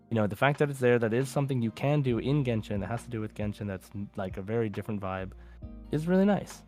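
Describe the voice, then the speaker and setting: Low-voiced enthusiastic man hosting a podcast. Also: a little mellow. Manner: enthusiastic, rambling. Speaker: podcast host. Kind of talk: casual gaming podcast talk.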